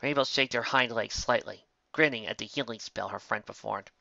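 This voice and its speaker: A man's voice: mid-range voice, moderate pace, creaky, bright, forceful tone, dry recording, lo-fi sound. A man reading a fan fiction story as narrator, occasionally stumbling over words.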